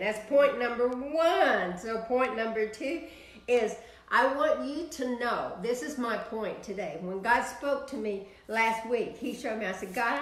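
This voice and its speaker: Animated Texan woman preaching. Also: on the high side, roomy sound. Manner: animated, preaching with sweeping hand gestures. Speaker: minister. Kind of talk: prayer broadcast sermon. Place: home office, indoors.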